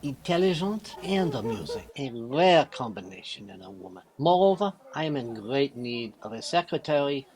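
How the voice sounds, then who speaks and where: An actor playing a clever, courteous, self-assured detective with a French accent and a formal, theatrical way of explaining, in an old-time radio mystery style. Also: male voice, mid-range voice, big pitch swings, normal speed, hardly any pauses, a little mellow, lightly acted, low-quality recording. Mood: courteous, teasing.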